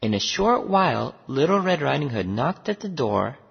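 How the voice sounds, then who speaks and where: Expressive, husky fairy-tale storyteller. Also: medium pitch. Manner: deliberate, expressive storybook reading. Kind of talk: scripted fairy-tale narration for English learners. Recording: muffled audio with long reverb.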